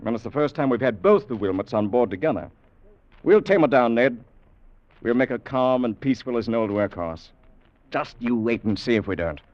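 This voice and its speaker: An actor playing a cocky, hearty first mate and older brother in an old radio drama, confident and teasing. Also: male voice, mid-range voice, lively intonation, normal speed, darkish tone, no room sound, natural voice. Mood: smitten, determined. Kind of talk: mid-20th-century radio drama acting. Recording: dull vintage radio recording.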